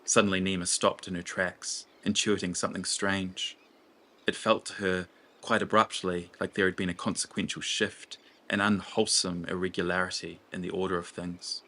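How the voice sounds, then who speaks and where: Low, forceful male fantasy narrator. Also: flat delivery. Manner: literary, deliberate storytelling.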